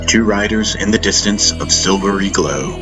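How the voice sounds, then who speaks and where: A man reading a first-person fantasy audiobook as narrator, in a solemn, deliberate, dramatic style. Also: deep voice, poor audio. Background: faint music.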